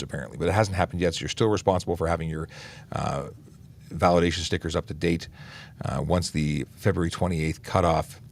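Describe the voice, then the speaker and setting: Man, very low gravelly voice, cop answering chat questions on a livestream, easygoing with lots of fillers. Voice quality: deep voice. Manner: relaxed, many "uh" fillers and restarts. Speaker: police officer hosting a traffic Q&A livestream. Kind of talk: casual livestream Q&A, reading and answering viewer questions.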